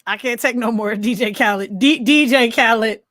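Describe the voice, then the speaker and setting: A woman's voice: on the high side, normal speed, fairly bright tone. A woman co-hosting a podcast in casual conversation, often repeating words and phrases as she reacts. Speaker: podcast co-host. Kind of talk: casual chat.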